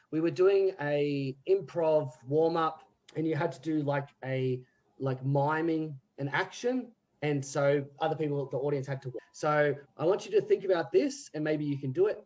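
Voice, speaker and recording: A man in casual podcast chat about theatre, telling stories in an animated way and laughing often. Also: on the high side, muffled recording. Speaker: theatre group member.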